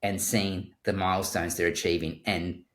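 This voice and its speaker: Deep-voiced New Zealand man, roomy sound. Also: flat delivery, normal speed. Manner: thoughtful, repeats words when starting sentences. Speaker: guest surgeon. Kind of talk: conversational interview. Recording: roomy echo.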